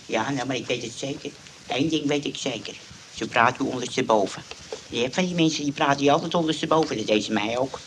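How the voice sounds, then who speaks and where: Actor doing a muddled old grandpa, worried, repeats himself, noisy old radio play. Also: male voice, medium pitch, fast, fairly bright tone, close to an everyday voice. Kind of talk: radio comedy drama acting.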